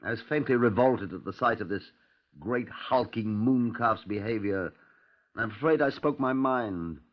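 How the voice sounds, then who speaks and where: An actor playing a stern, disdainful police detective, narrating a 1950s radio crime drama in a dry, clipped, musing manner. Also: male voice, fairly low pitch, lively intonation, moderate pace, lightly acted. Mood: scornful. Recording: muffled, lo-fi 1950s radio recording.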